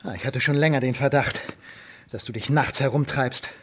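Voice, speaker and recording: An actor playing a stern, sardonic middle-aged father in a German radio drama, with a husky voice. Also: mid-range voice, a bit bright, natural voice. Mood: sardonic, accusing. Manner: stern, sarcastic, deliberate.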